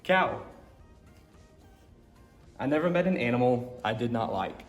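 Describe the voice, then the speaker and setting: A man reads a picture book aloud at a middle pitch. The room is echoey, and music is faintly present in the recording. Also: lively intonation, a little slow. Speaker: story time reader.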